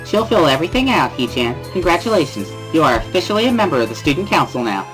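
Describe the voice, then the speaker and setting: A man's voice: a little high, very animated, quick pace, a bit bright, faint music behind, voice pushed up, poor audio. A man voicing a bubbly, talkative, boisterous teenage schoolgirl in an amateur dramatic reading of a visual novel. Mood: exuberant. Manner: exuberant, talkative, boisterous laughing.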